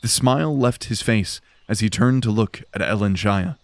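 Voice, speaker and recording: A man's voice: fairly low pitch, moderate pace, no echo. A man giving dramatic scripted narration for a fantasy audio drama.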